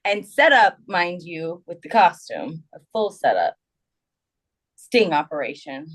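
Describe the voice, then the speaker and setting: Woman co-host, swooping lively voice, mock-outraged storyteller. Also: fairly low pitch, measured pace. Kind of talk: casual chat.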